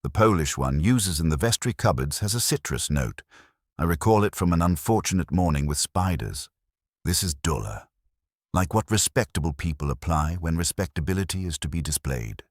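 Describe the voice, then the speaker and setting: A man voicing a courteous, observant police inspector in a mystery story, polite, deliberate and drily ironic. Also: very deep voice, expressive, no room sound, clean studio sound. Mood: drily reflective.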